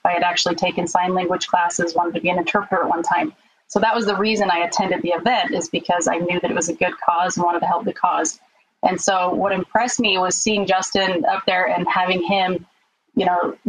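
A woman's voice: lowish voice, breathy edge, low-quality recording. A woman, a podcast guest, chatting casually as she tells how she met her husband. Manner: chatty, relaxed, puts on quick voices when quoting people. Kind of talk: casual storytelling.

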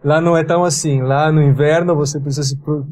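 A middle-aged man from Piedmont speaks with an Italian accent, in a mellow voice that sits slightly high. He talks reflectively, often pausing and restarting mid-word as he searches for the right phrase. Faint noise runs under the recording. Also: fairly even intonation. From Piedmont, northern Italy. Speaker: guest executive. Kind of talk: podcast interview.

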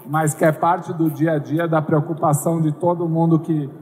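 A man giving a measured, explanatory interview answer. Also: on the high side, flat delivery, darkish tone. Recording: echoey hall.

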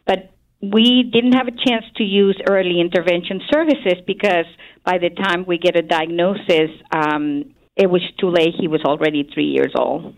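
A woman with a Chilean Spanish accent answers on a radio interview. Her voice sits a little low, and she speaks haltingly, with many pauses and fillers as she looks for the right words. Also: low-quality recording. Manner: hesitant, searching for words, frequent um and uh.

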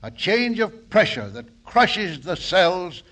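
A glib fake doctor on old radio. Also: male voice, high voice, sing-song, takes it slowly, fairly bright tone, heavily acted. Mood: mock-grave. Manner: mock-solemn medical patter. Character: fast-talking fake doctor, scheming and glib. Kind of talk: 1940s radio comedy acting.

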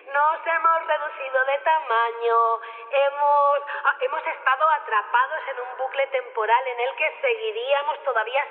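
An actress as an earnest female official delivers a solemn ceremonial speech in a high voice with a Spain Spanish accent. She is formal and halting, and her words carry a long reverb through a thin, narrow public-address sound, as in radio drama. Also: lightly acted. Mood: earnest, weary. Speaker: festival committee speaker.

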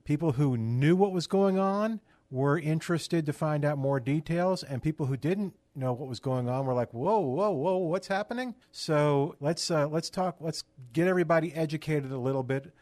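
A man's voice: a little high, moderate pace, hardly any pauses, a little mellow, dry recording. A man hosting a radio show, talking in an informal, conversational way with loose run-on phrases.

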